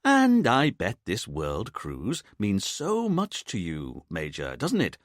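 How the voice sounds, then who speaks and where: A man's voice: on the low side, wildly swooping pitch, slow pace, dry recording, studio quality, full character voice. A man voicing an uptight, fussy police detective who speaks in a clipped, exasperated way. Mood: stern.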